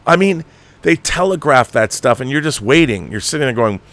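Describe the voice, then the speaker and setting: A man's voice: medium pitch, expressive, moderate pace, fairly bright tone. A man delivering an unscripted film rant as a show host, emphatic, exasperated and profane, repeating phrases for emphasis. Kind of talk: ranting film commentary, unscripted.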